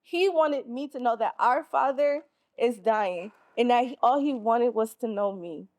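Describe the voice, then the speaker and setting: Woman acting a hurt, defiant teenage schoolgirl, higher voice. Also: a little slow, a little mellow, natural voice. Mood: hurt, sorrowful. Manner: emotional, accusatory monologue. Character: hurt, defiant 16-year-old schoolgirl confronting her mother. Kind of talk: stage play acting.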